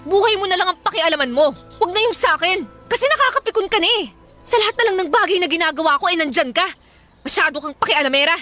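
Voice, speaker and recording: A woman's voice: extremely high pitch, animated, quick pace, bright, forceful tone, faint music behind, exaggerated voice. A woman playing an irritable, defiant elder sister and hard-up single mother in a radio drama, scolding and bluntly sarcastic. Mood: resentful, scolding.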